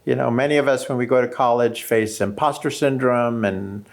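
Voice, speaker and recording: Male interviewer, mid-pitched, gravelly edge, curious and slightly hesitant. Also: steady tone, moderate pace. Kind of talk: interview questions.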